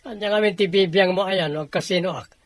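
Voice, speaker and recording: A man's voice: sits high, a little fast, lightly acted. A man playing a spoiled, defiant younger sibling, argumentative and dismissive, in an audio drama.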